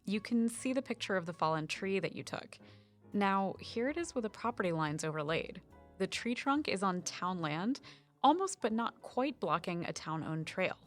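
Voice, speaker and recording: A woman's voice: medium pitch, expressive, moderate pace, soft background music, lightly acted. An actress playing a knowledgeable woman conservation officer and sleuthing sidekick in a naturalistic audio drama, informative and wry.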